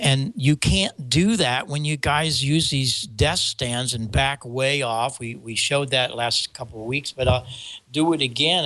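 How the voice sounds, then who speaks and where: An older American man talking casually as a show host about gear, rambling and halting with stammered restarts. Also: on the high side, fairly bright tone, dry recording. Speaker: show host and microphone maker. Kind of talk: casual show talk, explaining audio gear.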